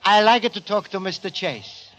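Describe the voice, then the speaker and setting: A man's voice: high-pitched, animated, moderate pace. A male actor playing a naive, stubborn Italian immigrant who speaks hesitant broken English with an Italian accent in a 1940s radio comedy. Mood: earnest. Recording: noisy, clicking 1940s radio recording.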